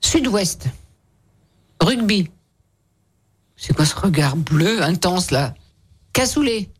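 A woman hosting a radio show in a lively, conversational interview style, chatty and teasing with guests. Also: on the low side, takes it slowly, husky.

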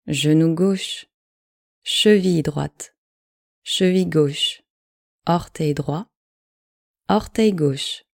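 A woman with a low, mellow voice leads a guided relaxation. She gives calm, measured instructions in short phrases, very slowly. The recording is dry and close. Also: vocal fry, no room sound. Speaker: yoga nidra guide.

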